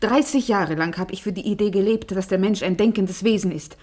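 A woman's voice: medium pitch, fairly quick, mellow, close to an everyday voice. An actress in a German radio play as a commanding, visionary woman leading an experiment, speaking with urgent intensity and faltering when unsettled. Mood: commanding, impassioned.

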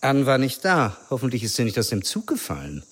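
An actor playing a charming, flighty middle-aged father and ladies' man, theatrical and expressive, in a radio play. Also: medium pitch, fairly quick. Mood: exclaiming. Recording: noisy outdoor ambience behind voice.

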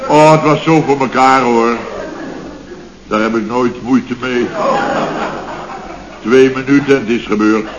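An actor playing a boastful, blustering contractor boss in a radio comedy serial, telling stories in colloquial, indignant style. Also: male voice, medium pitch, measured pace, long pauses, lightly acted. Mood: smug, boastful. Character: blustering, self-satisfied male building contractor boss. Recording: dull, noisy old radio recording.

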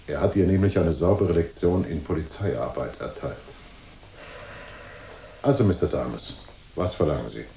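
An actor playing a shrewd, unruffled police detective in a noir radio drama, measured and knowing in his reasoning. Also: a bass voice, creaky, warm tone, echoey room, slight background noise, poor audio. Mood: calm, firm.

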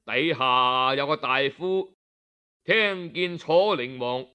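A man's voice: mid-range voice, takes it slowly, smooth, muffled recording. A man with a Cantonese accent telling a story in a dramatic, colloquial audiobook style, full of rhetorical touches. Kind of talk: traditional dramatic oral storytelling, audiobook narration.

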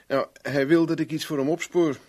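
A man's voice: a little high, fairly even intonation, fairly quick, a little mellow, close to an everyday voice. Man playing a wary, dry private investigator. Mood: guarded, evasive. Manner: casual, guarded, probing with short questions. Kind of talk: Dutch radio play acting.

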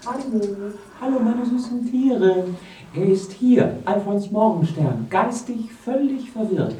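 A woman's voice: medium pitch, lively intonation, slow, warm tone. A malicious female ringleader in a radio play, heard at a distance in an echoing room. She is theatrical and commanding, her falsely sweet voice swinging up and down. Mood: sweetly sly. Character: gleefully malicious ringleader of a cruel game. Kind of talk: German radio drama acting.

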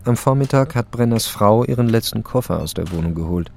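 A man reading scripted literary narration as the narrator of an audio drama, with a slightly creaky voice. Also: lowish voice, animated, fairly quick, darkish tone.

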